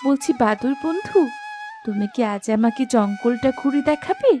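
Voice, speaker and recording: Fairy tale princess acted by a woman, young, tender-hearted, lightly high voice over music. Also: big pitch swings, moderate pace. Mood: grateful, happy. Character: gentle, affectionate young princess. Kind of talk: Bengali fairy tale cartoon.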